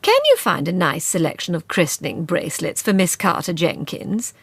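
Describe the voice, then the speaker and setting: A woman's voice: on the low side, big pitch swings, normal speed, slightly rough, dry recording, full character voice. A woman voicing a haughty, domineering upper-class older woman who gives commands. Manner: imperious, commanding.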